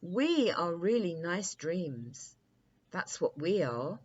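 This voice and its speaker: A woman's voice: lowish voice, big pitch swings, slow. A reassuring, unseen dream spirit from a children's tale, acted by a woman. It speaks in an assured, welcoming way. The recording is slightly dull with faint noise behind it.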